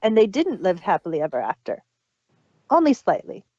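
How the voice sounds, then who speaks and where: A woman's voice: medium pitch, huge pitch swings, moderate pace, warm tone, no room sound. A woman co-hosting a casual review chat, on a dull lo-fi recording. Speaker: podcast co-host reviewing audio dramas.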